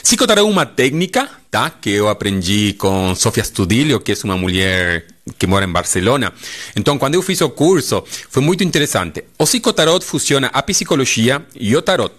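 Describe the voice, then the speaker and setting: A middle-aged Argentine man with a Spanish accent, a holistic therapist guest speaking deliberately in a radio studio interview. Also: medium pitch. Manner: deliberate, lively intonation with frequent "né?".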